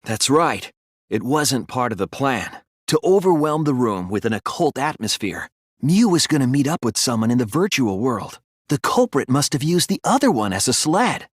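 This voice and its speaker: A man voicing an earnest, thoughtful teenage boy detective in an English video game dub, reasoning deliberately through his lines. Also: mid-range voice, sing-song, breathy edge, no echo, clean studio sound.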